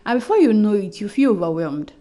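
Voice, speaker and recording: A woman's voice: mid-range voice, sing-song, fast talker, warm tone. Woman, young adult, casual journal talk, chatty, restarts her sentences. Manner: chatty, repeats herself, frequent restarts. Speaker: podcast host.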